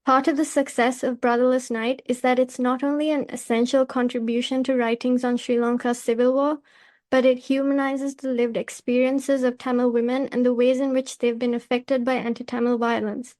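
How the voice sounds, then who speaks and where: A woman hosting a podcast, reading scripted introductions and questions in a measured, formal manner. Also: high voice, little pitch movement, darkish tone. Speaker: podcast host and editor.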